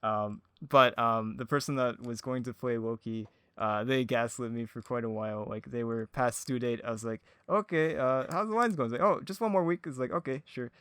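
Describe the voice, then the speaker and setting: Giggly, hesitant man with a clear voice. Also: medium pitch, a little fast, hardly any pauses, a bit bright, no room sound. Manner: hesitant, giggly, frequent laughter while talking. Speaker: podcast guest, audio drama producer. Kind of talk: casual anecdotal chat.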